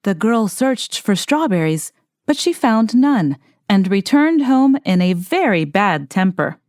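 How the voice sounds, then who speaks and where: Expressive woman storyteller, dry close sound. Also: mid-range voice, unhurried, no echo. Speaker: storyteller, audiobook narrator. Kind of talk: expressive scripted fairy tale narration.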